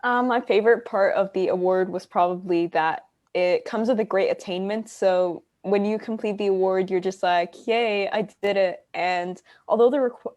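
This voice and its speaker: A woman's voice: mid-range voice, a little mellow. A teenage girl speaks with enthusiasm on a video call panel. The sound is muffled and lo-fi.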